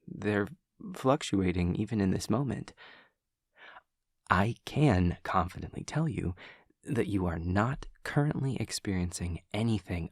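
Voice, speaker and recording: A man plays an awkward, earnest demon classmate in an intimate audio roleplay. His voice is low and breathy, and he talks slowly in stiff, formal wording, stammering as he picks each literal phrase. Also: no room sound, clean studio sound, full character voice. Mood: literal, matter-of-fact. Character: awkward, earnest male demon classmate who senses emotions. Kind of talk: scripted audio roleplay to the listener.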